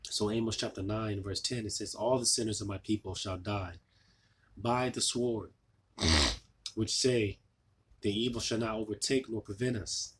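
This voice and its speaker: A man losing his voice, giving an informal scripture sermon, halting with many fillers and restarts. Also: fairly low pitch, steady tone, slight background noise. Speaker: preacher.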